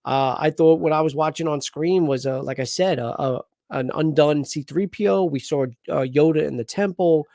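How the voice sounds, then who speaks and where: A man's voice: fairly high pitch, normal speed, gravelly, few pauses, dry recording, clean studio sound. A man hosting a casual live reaction chat, talking in a rambling way with lots of restarts. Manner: rambling, restarts often, animated. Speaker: host.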